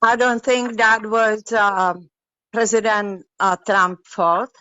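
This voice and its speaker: Slow, hesitant female caller from Europe. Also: mid-range voice, fairly even intonation, sharp tone, clean tone, no echo. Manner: slow, hesitant, broken English. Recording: dull lo-fi dry call.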